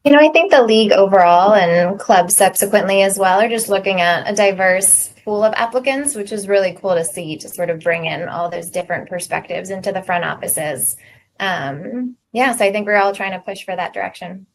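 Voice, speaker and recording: Brief: female panelist, native Czech speaker, mid voice, relaxed answers, faint room noise. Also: medium pitch, a little fast, low-quality recording. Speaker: panel guest, general counsel.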